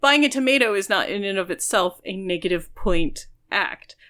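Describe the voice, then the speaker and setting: A woman's voice: mid-range voice, animated, moderate pace, fairly bright tone. A woman co-hosting a podcast, explaining things earnestly in casual conversation. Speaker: podcast co-host. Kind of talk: casual discussion.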